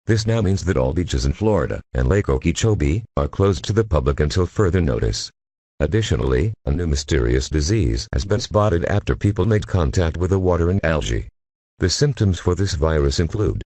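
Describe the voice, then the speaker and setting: Very deep, husky male alert announcer. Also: a little mellow, no echo. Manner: formal, impersonal announcement delivery. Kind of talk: scripted emergency broadcast warning.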